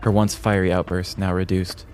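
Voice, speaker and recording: An American man reading a scripted comedic story in a deliberate, theatrical storyteller's manner. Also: deep voice, level tone, darkish tone, soft background music. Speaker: podcast host telling a story.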